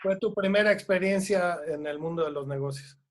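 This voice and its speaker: Male TV interviewer, a bit high-pitched, halting questions. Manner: halting questions with fillers, short acknowledgements. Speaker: interviewer, show host.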